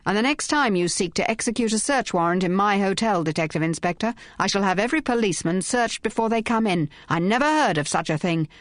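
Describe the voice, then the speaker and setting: A woman's voice: mid-range voice, expressive, fast talker, hardly any pauses, no room sound, clean studio sound, natural voice. Woman voicing a pompous, indignant hotel manager.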